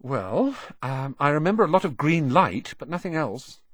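A man's voice: fairly high pitch, big pitch swings, normal speed, dry recording, studio quality, voice pushed up. A man voicing a curious, modest young boy new to wizardry, earnest and a little hesitant, as audiobook dialogue.

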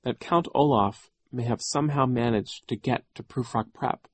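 A man voicing an earnest young orphan boy with a careful, measured manner in an audiobook story. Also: fairly high pitch, sing-song, dry recording, voice pushed up. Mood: careful, worried. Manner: measured, choosing words carefully.

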